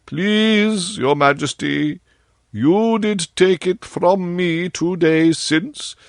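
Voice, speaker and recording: Man playing a humble, slow-spoken courtier. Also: high voice, no echo, pitched above the performer's own voice, exaggerated voice. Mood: humble, anxious. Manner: formal, pleading archaic speech. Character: deferential nobleman courtier addressing his king, anxious and humble.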